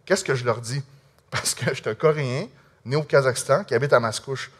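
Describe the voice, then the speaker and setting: Earnest Québécois man telling his story. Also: mid-range voice. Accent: Québécois French. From Quebec. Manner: earnest, conversational, halting with frequent restarts. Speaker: speaker sharing a personal testimony. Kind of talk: personal testimony to an audience.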